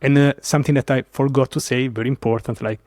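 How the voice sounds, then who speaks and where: A man from Italy with an Italian accent, telling a story as a podcast guest in an animated way with many fillers. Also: mid-range voice, quick pace, no room sound, clean studio sound. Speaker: guest developer advocate. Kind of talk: casual podcast interview.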